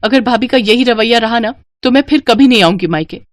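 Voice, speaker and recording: A woman's voice: fairly high pitch, a little fast, no echo, studio quality, voice pushed up, close to an everyday voice. A woman voicing a polite young married daughter in a cartoon. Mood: upset.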